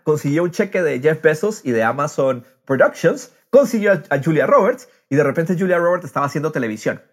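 Un hombre que conduce un podcast de charla informal, contando las cosas con animación y entusiasmo. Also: male voice, high-pitched, breathy edge. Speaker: podcast host. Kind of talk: casual podcast interview.

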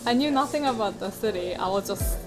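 A young woman from South Korea with a Korean accent, answering casual interview questions in English as her second language, pausing to find words. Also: mid-range voice, expressive, low-quality recording. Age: young adult. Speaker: interview guest, graphic designer. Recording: loud background music.

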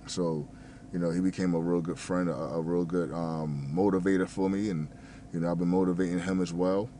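A very deep, low-pitched male voice, slightly mumbled, from a man in his thirties who grew up in New Jersey. He talks in a loose, rambling way, stumbling over words, leaning on fillers and cursing casually. A faint background hum sits under the voice. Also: level tone, fairly quick, dark tone. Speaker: restaurant manager and fitness vlogger.

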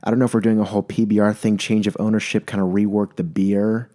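A young man with a mellow, fairly low voice tells a comic story in a mock-serious, rambling way. He often stops mid-sentence, restarts and corrects himself. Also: little pitch movement, fast. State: maybe congested. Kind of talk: comedic monologue.

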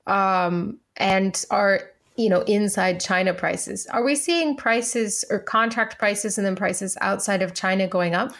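A woman co-hosting a podcast, asking interview questions in a conversational, measured way and thinking them through aloud. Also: mid-range voice, a bit bright.

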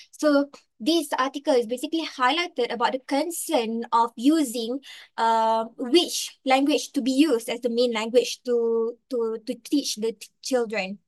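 A young Malaysian woman with a Malaysian accent, giving her opinion on a podcast panel and talking thoughtfully, with frequent fillers and "right?" tag questions. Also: on the high side. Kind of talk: opinion discussion.

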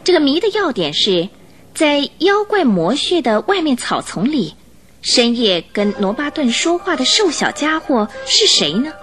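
A woman narrator telling a scripted radio drama story in an expressive, suspenseful style. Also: high-pitched, a little slow. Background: music under the voice.

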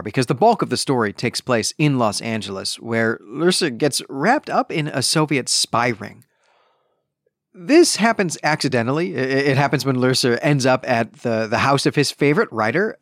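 A man's voice: mid-range voice, no echo, clean studio sound. A man hosting a podcast, recapping a story in a conversational, emphatic, explanatory way. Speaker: podcast host reviewing a novel.

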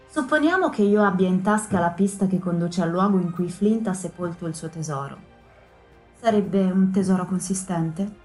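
Brief: a woman voicing a composed country doctor, measured and dry, mid pitch, faint music behind. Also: lightly acted. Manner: calm, reasoned, dry. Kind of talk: audiobook character voice.

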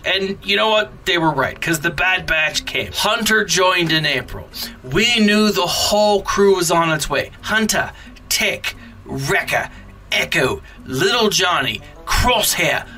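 A man's voice: sits high, sharp tone, distant mic. A man hosting a playful storytime recap on a podcast, with informal, exaggerated delivery and joking asides. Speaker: podcast host presenting a storytime segment.

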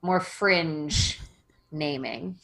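A woman in her thirties, a podcast guest talking casually, bubbly and self-deprecating with quick asides. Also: sits low, vocal fry, fairly bright tone. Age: 30s. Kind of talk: casual interview conversation.

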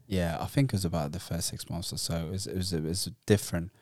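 A man's voice: sits low, fairly even intonation, fast, darkish tone, no room sound. A man who grew up partly in the Netherlands, chatting casually and rambling with fillers and restarts. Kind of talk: casual chat.

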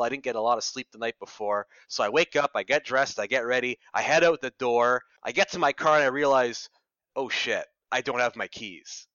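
A young Canadian man with a bright, forward voice tells stories casually in an interview. He is chatty and self-deprecating. The sound is close and dry but somewhat dull. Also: medium pitch, dry recording. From Ottawa, Canada. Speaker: radio reporter being interviewed. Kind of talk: casual conversational storytelling.

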